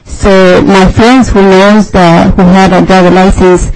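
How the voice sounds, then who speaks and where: Gravelly-voiced woman, careful English. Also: medium pitch, flat delivery, a little slow, darkish tone, slight background noise, rough recording. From Mexico. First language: Mazahua. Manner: careful, searching for words in a third language. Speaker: testifier at a public hearing. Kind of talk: public testimony. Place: legislative committee hearing room.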